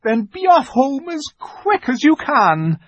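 A man voicing a timid, weeping male faun who pleads slowly and frets. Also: very high-pitched, very animated, no room sound, lo-fi sound, voice pushed up, full character voice. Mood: anxious. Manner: fretful, pleading, slow and drawn out.

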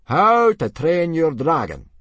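A man's voice: a little high, wildly swooping pitch, slow pace, fairly bright tone, no room sound, pitched above the performer's own voice, full character voice. A man voicing a self-important Viking warrior in an audiobook, emphatic and bellowing with great solemnity.

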